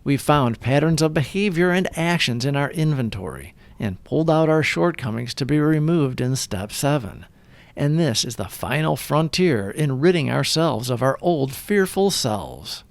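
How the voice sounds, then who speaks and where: Male devotional reader, earnest and measured, noticeable vocal fry, very dry close sound. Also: on the high side, lively intonation, no room sound. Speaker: host of a recovery meditation reading. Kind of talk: scripted devotional read-aloud.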